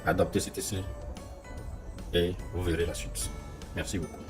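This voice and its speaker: Man from Togo, very deep voice, slogan-style testimonial. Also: level tone, a little fast, over music. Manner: promotional, slogan-like. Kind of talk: video testimonial.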